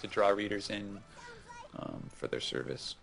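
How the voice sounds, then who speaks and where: A man chatting casually about manga as a podcast co-host, in a hesitant, halting way. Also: lowish voice, lively intonation, vocal fry, fairly bright tone.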